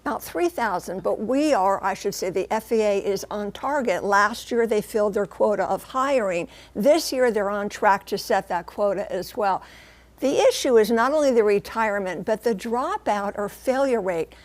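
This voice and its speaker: An American woman reporting and explaining the news on television, with emphatic, deliberate phrasing. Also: mid-range voice.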